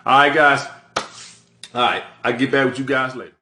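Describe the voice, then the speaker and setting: A man's voice: mid-range voice, moderate pace, sharp tone, roomy sound. A man giving dating advice straight to his audience, talking bluntly and swearing for emphasis. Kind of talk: direct-to-camera advice monologue.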